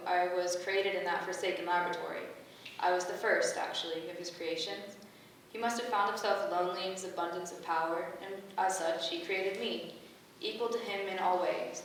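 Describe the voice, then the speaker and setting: A woman playing a calm, motherly, goddess-like being with a stately, formal way of speaking, in a staged play reading. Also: mid-range voice, natural voice. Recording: echoey room, distant mic, background noise.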